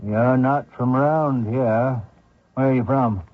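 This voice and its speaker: An actor playing a blunt, folksy small-town man who probes strangers, husky, in old-time radio drama style. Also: medium pitch, fairly even intonation, slow, phone-quality sound. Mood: chatty, blunt. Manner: folksy, probing, plain-spoken.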